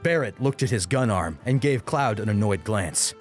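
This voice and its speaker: A man's voice: on the low side, lively intonation, moderate pace, faint music behind. A man narrating an action story as an audiobook, in a dramatic scripted style.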